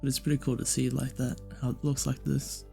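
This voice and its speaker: A man's voice: mid-range voice, flat delivery, fast talker, creaky, mellow. A man giving casual, puzzled, hesitant gameplay commentary, trailing off and repeating himself. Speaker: gaming video narrator. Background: game music.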